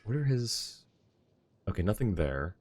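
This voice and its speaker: Very deep-voiced man streaming games. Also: big pitch swings, measured pace, darkish tone, slight background noise. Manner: rambling, thinking aloud. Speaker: game streamer and host. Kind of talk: casual livestream chat and game commentary. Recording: music playing behind the voice. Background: game music.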